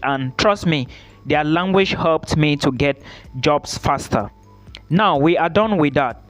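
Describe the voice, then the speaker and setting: A man hosting his own channel, giving emphatic advice straight to the viewer, repeating key points and checking in with "okay?". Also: on the high side. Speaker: study-abroad YouTuber. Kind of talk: direct-to-camera advice vlog.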